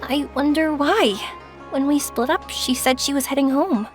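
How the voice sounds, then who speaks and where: A woman playing a cheerful, kind, energetic young woman in a video game, speaking in an earnest, reassuring way. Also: sits high, normal speed, close to an everyday voice. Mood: caring. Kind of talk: video game character acting. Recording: game music under the voice.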